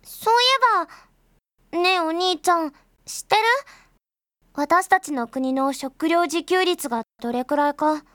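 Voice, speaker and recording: Sweet little sister, very high girlish voice, earnest, gentle, eager, audio drama, close dry sound. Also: unhurried, no echo. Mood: excited, delighted. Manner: eager, childlike, gentle, talking to an unheard brother. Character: sweet, earnest little sister admiring her big brother. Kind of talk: Japanese audio drama acting.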